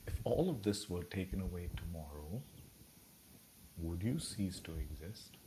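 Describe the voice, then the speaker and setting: Guy doing a guided meditation, really deep voice, soothing, noticeable ambient noise behind him. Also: expressive, warm tone. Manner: soothing, unhurried, with long pauses between phrases. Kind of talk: slow, gentle guided meditation.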